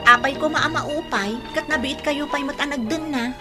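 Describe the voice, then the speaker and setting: A woman's voice: high voice, normal speed, loud music behind the voice, lightly acted. A woman playing a concerned mother advising her married daughter in a radio drama, motherly and emphatic, with an Ilocano accent. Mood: curious, reassuring. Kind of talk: Filipino radio drama acting.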